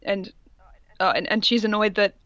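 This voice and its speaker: A woman's voice: medium pitch, steady tone, moderate pace, a bit bright, no echo. Dull-sounding woman, sarcastic comedic reader. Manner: sarcastic, jokey asides. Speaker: podcast co-host reading fan fiction aloud. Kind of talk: comedic dramatic reading with casual commentary.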